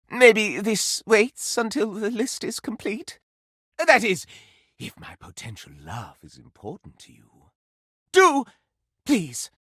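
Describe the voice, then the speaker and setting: A man's voice: very high-pitched, pushed and bright, no room sound, clean studio sound, exaggerated voice. A man voicing a gossipy, flamboyant candelabra dandy in a video game, with florid, campy, melodramatic delivery and affected airs. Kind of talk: theatrical video game character acting.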